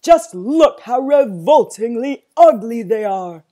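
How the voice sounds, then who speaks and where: A woman voicing a loud, blustering male zoo owner who bellows and gets flustered. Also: on the high side, animated, slow, pitched above the performer's own voice. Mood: indignant.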